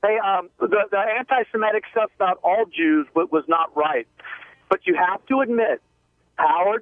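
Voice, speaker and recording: A man's voice: sits high, dry recording. A man calling in to a radio show by phone, arguing casually and insistently and cutting in. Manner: insistent, interrupting. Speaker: radio call-in caller. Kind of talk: casual argumentative phone call. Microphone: telephone. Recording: tinny narrow phone line.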